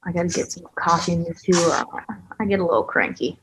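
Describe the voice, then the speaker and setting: A woman playing an intimidating, cranky prison escapee in a screenplay table read, husky and sardonic, needling in a hushed way. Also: lowish voice, expressive, quick pace, a little hiss or hum, rough recording.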